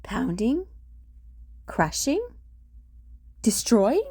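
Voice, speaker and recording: Expressive woman reading a kids' story. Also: a little high, very slow, slightly rough, no room sound. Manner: expressive, sing-song storytelling. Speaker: children's storytime host. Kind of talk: reading a picture book aloud to kids.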